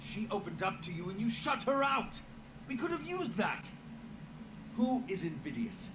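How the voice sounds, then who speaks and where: Earnest guilt-ridden game hero, lowish dramatic voice that moves a lot, noisy background. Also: male voice, very animated, normal speed. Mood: upset, arguing. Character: earnest, guilt-laden male investigator in a fantasy city. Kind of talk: dramatic video game acting.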